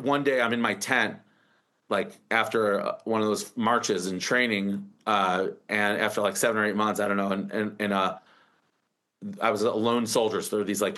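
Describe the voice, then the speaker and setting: A man's voice: fairly low pitch, a bit bright. An American man in his forties, a startup founder telling his story in an interview, rambling with many restarts and fillers. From United States.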